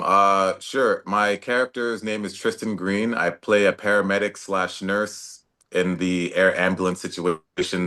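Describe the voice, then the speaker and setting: Laid-back deep-voiced young man. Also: sharp tone. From Toronto, Canada. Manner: laid-back, many fillers and restarts. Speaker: actor guest. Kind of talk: casual interview talk.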